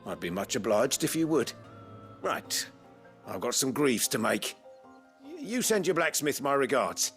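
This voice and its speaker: A man's voice: medium pitch, very animated, unhurried, a bit bright. A man playing a humble, talkative leather craftsman in a video game, wry and self-deprecating. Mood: grateful, obliging. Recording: game music under the voice.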